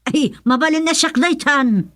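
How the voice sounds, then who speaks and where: Eager ilocano village lady, highish voice, radio comedy acting. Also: unhurried, fairly bright tone.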